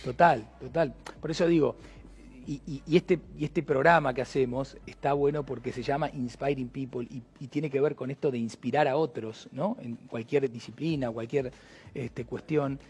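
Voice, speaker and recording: Husky Argentine host, hesitant. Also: male voice, medium pitch, steady tone, normal speed, darkish tone. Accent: Argentine Spanish. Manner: hesitant, many fillers and restarts. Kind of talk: conversational interview.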